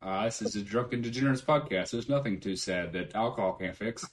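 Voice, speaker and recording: Low-voiced laid-back male podcast host. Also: fairly quick. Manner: laid-back, swears freely, laughs often. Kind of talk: casual chat.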